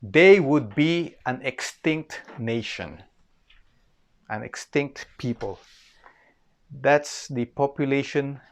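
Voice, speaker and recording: A man with a Filipino accent giving an informal explanatory history lecture, repeating phrases for emphasis and often checking in with "okay". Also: medium pitch. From Philippines.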